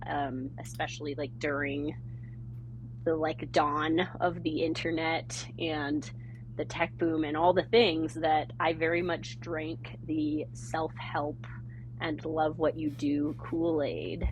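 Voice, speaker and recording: Low-voiced woman, chatty podcast guest. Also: a little hiss or hum, rough recording. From born in Germany, raised in the San Francisco Bay Area. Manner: chatty, laughs often, many fillers. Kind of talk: casual interview conversation.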